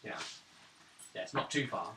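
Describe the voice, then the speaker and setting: A man's voice: low-pitched, animated, normal speed. A man running a tabletop role-playing game as game master, casually narrating and answering players. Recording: roomy, distant mic, faint noise.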